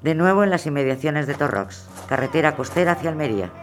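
A man's voice: a little high, lively intonation, fast talker, natural voice. A man as a terse narrator setting scenes in a scripted historical audio drama. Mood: announcing. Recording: loud music behind.

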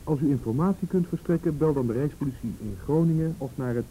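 A man's voice: fast, warm tone, lightly acted. An actor playing an easygoing, slightly grumbling male friend in a radio drama, with a casual, musing, hesitant delivery. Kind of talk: Dutch radio drama acting. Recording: rumbly, noisy old radio recording.

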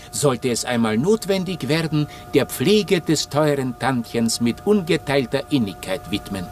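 A man acting in a German radio play as a pious, sweet-talking young nephew, reading out his flowery, unctuous letters to his aunt. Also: a little high. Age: young adult. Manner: florid, flowery, unctuous phrasing.

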